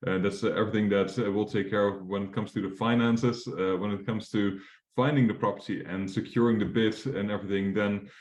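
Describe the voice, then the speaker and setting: A man's voice: on the low side, steady tone, brisk, darkish tone, echoey room. A young Dutch man presenting as a mortgage advisor in a webinar, casual and full of fillers and restarts. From Netherlands.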